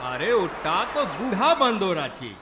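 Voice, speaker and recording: A man's voice: high voice, big pitch swings, moderate pace. A man voicing a folksy street monkey showman in a cartoon story, with a drawling manner. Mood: complaining. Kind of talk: Bengali cartoon story acting. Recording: long reverb, noisy ambience behind.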